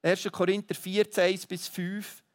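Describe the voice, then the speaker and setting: A man's voice: sits high, little pitch movement, moderate pace. A man preaching a sermon, earnest and teaching, thinking aloud with small restarts. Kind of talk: sermon to a congregation.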